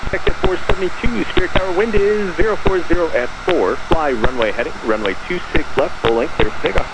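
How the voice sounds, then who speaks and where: A man working as an air traffic tower controller, giving clipped routine clearances over aviation radio. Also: fairly high pitch, fast.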